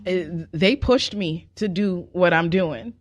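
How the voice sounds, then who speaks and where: A woman's voice: fairly low pitch, slow. A woman speaking as a musician guest, giving casual answers in a radio interview. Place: radio studio.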